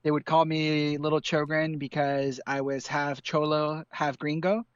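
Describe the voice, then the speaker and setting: A man's voice: on the high side, unhurried, clean tone, dry recording. Easygoing man, rambling, dull dry audio. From Ecuador. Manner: relaxed, rambling with many fillers. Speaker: guest artist. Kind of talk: casual interview chat. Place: home room in hot city with air conditioning.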